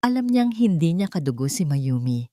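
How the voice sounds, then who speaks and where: A woman narrates a scripted drama in a fairly low voice. The sound is dry, with no room around it, as in an audiobook. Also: very animated, moderate pace, warm tone, no echo.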